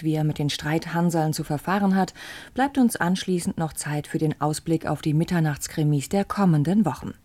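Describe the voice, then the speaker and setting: A woman's voice: low voice, dark tone. A woman presenting on radio, reading a scripted programme announcement.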